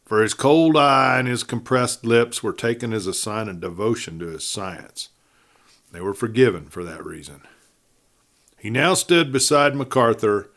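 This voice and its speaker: A man's voice: mid-range voice, a bit bright. A man reading a western novel aloud as a folksy storyteller, with conversational asides and dramatic emphasis. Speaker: audiobook narrator and host.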